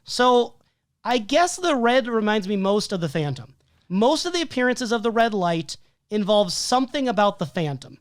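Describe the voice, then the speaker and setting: Steady man reading film notes, hoarse. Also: sits high, moderate pace, no room sound, clean studio sound. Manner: methodical, listing details with frequent "um" and "like". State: losing his voice. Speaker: podcast co-host.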